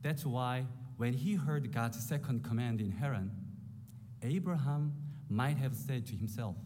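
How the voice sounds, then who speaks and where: A man in his forties with a Korean accent, preaching a sermon in an earnest, humble, measured way. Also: mid-range voice, mellow. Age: 40s. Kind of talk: sermon with scripture reading.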